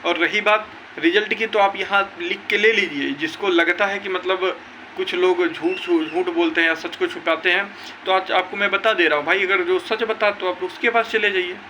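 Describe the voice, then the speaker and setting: A man's voice: sits high, fairly even intonation, normal speed, sharp tone, a little hiss or hum, rough recording. A young man gives an informal update to viewers in an emphatic, insistent way, often repeating his points. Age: young adult. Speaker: exam-news video creator.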